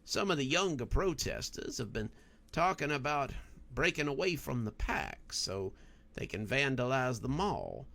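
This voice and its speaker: A man voicing an earnest, cautious man gravely explaining a problem in an audio story. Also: mid-range voice, sing-song, unhurried, a bit bright, voice pushed up, exaggerated voice. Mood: serious, cautious.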